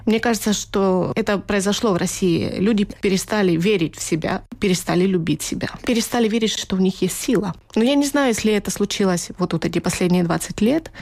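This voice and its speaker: A woman from Ukraine, an author being interviewed, speaking thoughtfully and pausing to search for words. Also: mid-range voice, a little mellow. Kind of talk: reflective interview talk.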